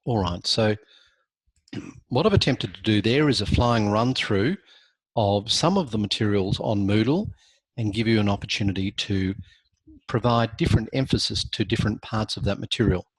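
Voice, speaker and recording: A man lecturing on law in a recorded online class, measured, with frequent ums and self-corrections, and hoarse from losing his voice. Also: fairly low pitch, no room sound, poor audio. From Australia.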